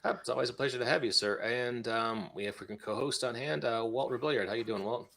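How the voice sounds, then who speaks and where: A male podcast host with an American accent and an average-pitched voice. He talks informally and jokes along the way, in the loose style of casual chat. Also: fast, creaky, fairly bright tone. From United States. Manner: jokey, informal.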